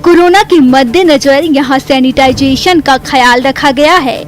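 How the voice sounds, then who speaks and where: A woman's voice: high-pitched, unhurried. A woman reading scripted news narration as a voice-over. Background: faint background music.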